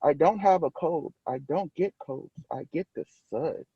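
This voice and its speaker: A man's voice: a little high, measured pace, breathy edge, dry recording, dull audio, heavily acted. A man voicing a sick, pleading cartoon sponge from a storybook, plaintive and protesting. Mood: stubborn, sickly.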